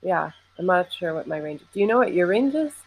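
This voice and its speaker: A woman's voice: fairly low pitch, lively intonation, quick pace, low-quality recording. A young adult woman musician in casual conversation, talking loosely with many "like" fillers and easy laughter. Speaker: singer and musician.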